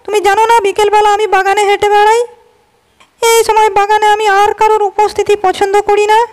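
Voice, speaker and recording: A woman voicing a proud, defiant young princess in a cartoon, speaking in a sharp, scornful way. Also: very high-pitched, monotone, fast. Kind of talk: cartoon voice acting.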